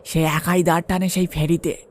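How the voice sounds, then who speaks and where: A man voicing a sly, obliging tea seller in a cartoon ghost story, with a servile, drawn-out, wheedling way of talking. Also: on the high side, level tone, lightly acted. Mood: sly, coaxing.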